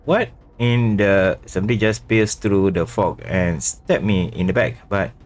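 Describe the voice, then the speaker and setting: A male game streamer reading dialogue aloud during a playthrough in a halting, stumbling manner. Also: on the low side, faint music behind.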